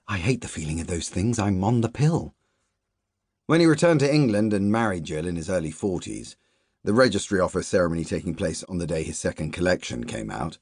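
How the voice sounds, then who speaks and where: A man reading a literary novel aloud as a first-person audiobook narrator, measured and even, dropping to quiet asides in the dialogue. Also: deep voice, breathy edge, no room sound, studio quality.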